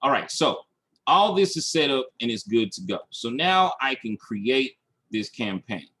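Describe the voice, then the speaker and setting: A man's voice: mid-range voice, big pitch swings, measured pace, sharp tone, breathy edge. A man teaching online marketing in a screen-share tutorial, talking through each step aloud and checking in as he goes. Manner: instructional, checking in with "okay" and "all right".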